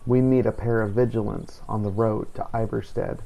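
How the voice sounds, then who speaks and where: A man reading a scripted in-character journal of a fantasy game playthrough in a solemn, measured storytelling manner. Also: fairly low pitch, steady tone, gravelly, dark tone, soft background music, low-quality recording. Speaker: player narrating a role-play playthrough.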